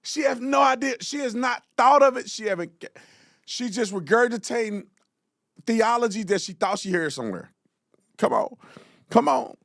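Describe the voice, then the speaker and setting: Animated mocking male commentary host. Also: very high-pitched, normal speed, gravelly, no echo, studio quality. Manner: mocking, exclaims loudly, slangy.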